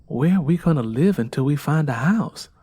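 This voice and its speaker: A man's voice: on the high side, lively intonation, normal speed, dark tone, husky. Man voicing wounded, sullen young Southern woman. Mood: uneasy. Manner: muttering, wounded, resigned.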